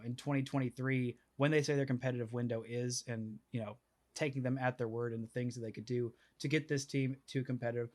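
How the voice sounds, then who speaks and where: A man's voice: mid-range voice, darkish tone. A man hosting a sports podcast, talking casually with lots of fillers and restarts. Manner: rambling, filler-heavy, restarting. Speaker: podcast host.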